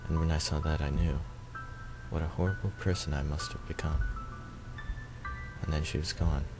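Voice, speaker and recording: A man's voice: very deep voice, fairly even intonation, moderate pace, music in the background, rough recording. A man reading first-person visual novel narration aloud.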